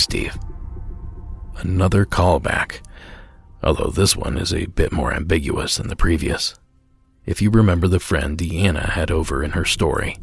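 A man hosting a paranormal call-in podcast, reading scripted narration and introducing callers in a measured storytelling manner. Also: low voice, creaky, slightly rough, no room sound. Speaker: podcast host.

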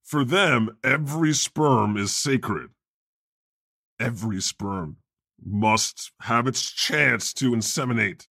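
A man's voice: mid-range voice, slow, vocal fry, pushed and bright, no echo, clean studio sound, full character voice. A man playing an irreverent God who hosts a comedy podcast with flippant, profane, teasing banter. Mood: mocking, flippant. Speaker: podcast host.

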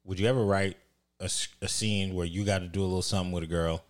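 A man's voice: sits low, steady tone, fast talker. A man from Haiti co-hosting a casual chat, joking and teasing his guests. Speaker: podcast co-host.

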